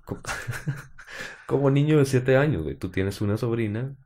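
A man's voice: mid-range voice, moderate pace, mellow. A man with a Mexican accent co-hosting a casual live stream, joking with his partner and then narrating a true-crime case. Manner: joking, laughs often, uses slang. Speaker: podcast co-host.

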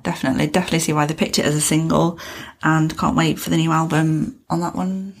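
Husky woman chatting casually about music on a podcast, upbeat. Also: low voice, flat delivery, fast. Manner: chatty, upbeat fan talk. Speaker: podcast co-host.

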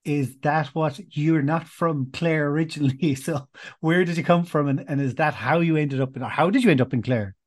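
A man's voice: on the high side, normal speed, few pauses. A man interviewing a guest, asking friendly prompting questions. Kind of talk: casual interview.

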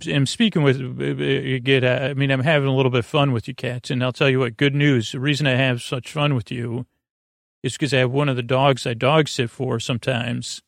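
A man hosting a bedtime podcast in a rambling, halting monologue full of tangents, with a gently whimsical, playful manner. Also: medium pitch, level tone, creaky, no echo, studio quality. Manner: meandering, halting, self-interrupting tangents. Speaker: podcast host.

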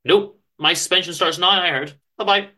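A man's voice: high-pitched, moderate pace, pushed and bright. A man voicing a gloomy, principled, rebellious office worker in a dramatic story reading, earnest and wordy. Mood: breezy, defiant.

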